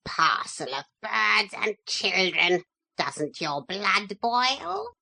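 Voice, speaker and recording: A woman's voice: mid-range voice, very animated, extremely slow, vocal fry, sharp tone, voice pushed up, exaggerated voice. A woman voicing a proud, courteous male parrot who talks in a polite, formal way while making cool threats.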